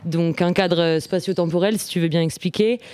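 A woman's voice: fairly low pitch, fairly even intonation, moderate pace, darkish tone, smooth. A woman moderating a public talk and introducing the guest, hesitant with many fillers. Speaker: event moderator.

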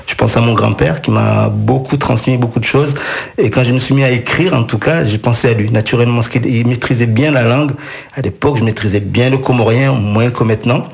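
A gravelly-voiced middle-aged man talks as a musician on a radio interview. His is Swahili, and he wanders through his memories with frequent restarts and fillers. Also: mid-range voice, brisk. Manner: rambling, many restarts and fillers. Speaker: musician guest.

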